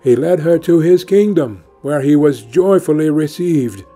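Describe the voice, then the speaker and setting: A man narrating a scripted fairy tale as an audiobook reader, in a measured storytelling manner. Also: fairly high pitch, expressive, warm tone. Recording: music playing behind the voice. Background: music.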